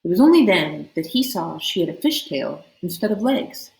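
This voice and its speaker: A woman with a fairly low voice narrates a storybook aloud. She reads expressively, leaning on dramatic words, in an echoey room. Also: very animated, normal speed, creaky, a little mellow.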